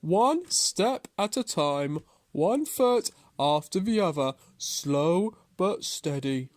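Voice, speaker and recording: A patient, stubborn tortoise from a children's tale, voiced by a woman. The delivery is slow and deliberate, lifted above an ordinary talking pitch. Each phrase lands firmly and is drawn out with determination. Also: expressive, a little mellow, dry recording, clean studio sound. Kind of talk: children's storybook character voice.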